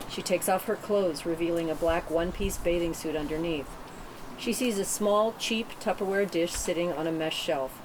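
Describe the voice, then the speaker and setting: A woman reading stage directions as narrator at a script table read, in a matter-of-fact way. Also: lowish voice. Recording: clearly noisy room recording.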